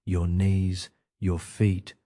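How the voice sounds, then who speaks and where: Really low mellow guy doing a sleep meditation talkdown, super gentle and slow. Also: monotone. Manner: slow, gentle, soothing delivery with pauses. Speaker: meditation guide. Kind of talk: guided sleep meditation narration.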